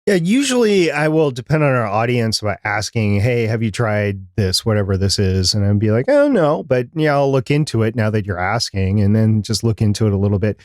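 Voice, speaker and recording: A man's voice: on the low side, sing-song, brisk, hardly any pauses, no room sound, clean studio sound. A man chatting casually as co-host of a live podcast.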